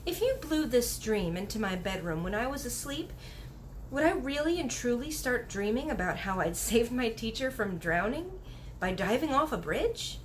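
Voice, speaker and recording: A woman's voice: a little high, sing-song, moderate pace, slight background noise. A woman voicing a polite, curious little girl in a storybook, earnest and questioning. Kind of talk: storybook read-aloud character voice.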